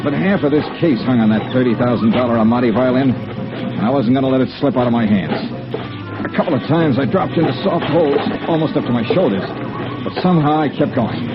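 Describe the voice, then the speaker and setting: An American actor playing a tough, dogged insurance investigator in 1950s radio noir, with clipped, hard-boiled delivery. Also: male voice, medium pitch, a little fast, lightly acted. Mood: grim, determined. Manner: clipped, hard-boiled first-person narration. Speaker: lead actor and narrator. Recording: muffled old radio broadcast, music behind.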